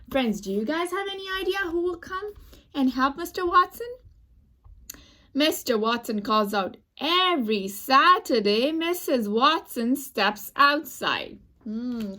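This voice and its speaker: A woman teaching young children through an expressive read-aloud, addressing them as friends and pausing to explain words and pictures. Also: on the high side.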